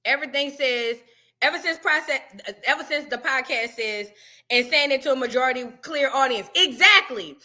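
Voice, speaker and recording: A woman's voice: high-pitched, bright, forceful tone. A woman giving blunt, questioning commentary as she reacts to a clip.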